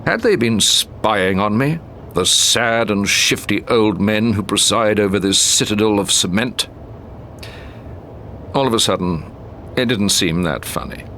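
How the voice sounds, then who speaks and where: A British man reading a short story as an audiobook narrator, in a measured, expressive storytelling manner. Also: fairly low pitch, a little hiss or hum.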